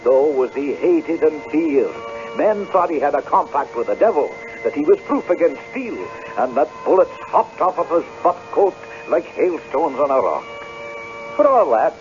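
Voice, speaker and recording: An actor playing an aging, troubled Scottish piper, telling his tale in an expressive, folksy way for radio drama. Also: medium pitch, close to an everyday voice. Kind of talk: radio drama acting, storytelling. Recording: dull old radio broadcast.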